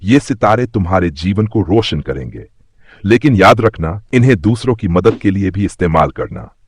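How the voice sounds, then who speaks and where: A man as a deep, kindly magical tree. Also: expressive, normal speed, husky, dry recording. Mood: kindly, encouraging. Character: kindly, wise old magical tree spirit.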